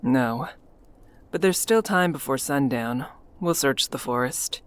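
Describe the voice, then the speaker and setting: An actor playing a cold, mocking town official who hunts a werewolf in a dramatic visual novel, sardonic and taunting, over music. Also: male voice, medium pitch, lively intonation, takes it slowly, a bit bright, clean tone. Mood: mocking, menacing. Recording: music under the voice.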